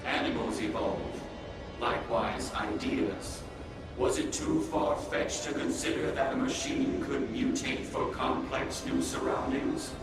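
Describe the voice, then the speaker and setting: A man's voice: medium pitch, steady tone, unhurried, vocal fry. A man playing a sentient supercomputer villain in a comic-book drama, speaking formally and condescendingly in grandiose monologue. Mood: grandiose, lecturing. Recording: heavily echoing, distant, loud music behind.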